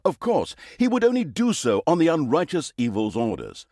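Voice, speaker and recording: A man's voice: a little high, wildly swooping pitch, normal speed, dark tone, dry recording, studio quality, full character voice. A male actor playing a pompous, sardonic storyteller who narrates a fantasy video game with theatrical, mock-grandiose delivery.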